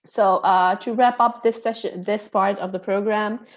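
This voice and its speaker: A woman's voice: mid-range voice, steady tone, unhurried. A woman from Bangladesh hosting introductions on a video call, friendly and chatty with frequent laughs. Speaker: online program facilitator.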